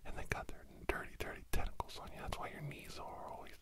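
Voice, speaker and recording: A middle-aged man in a whispered ASMR podcast monologue, breathy and secretive, rambling haltingly and repeating words, close to the mic. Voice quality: breathy whisper breaking into low murmur. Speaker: podcast host.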